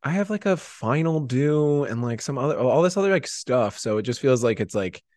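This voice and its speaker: A man's voice: mid-range voice, lively intonation, normal speed, a little mellow, dry recording, studio quality. A man chatting casually as a podcast guest, rambling and self-deprecating, with lots of "like" in his talk. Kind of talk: casual chat, anecdotes.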